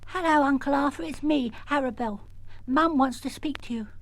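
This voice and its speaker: A man's voice: extremely high pitch, fairly quick, a bit bright, pitched above the performer's own voice. A British man voicing a small, determined little girl in a children's story, speaking simply and directly. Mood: matter-of-fact.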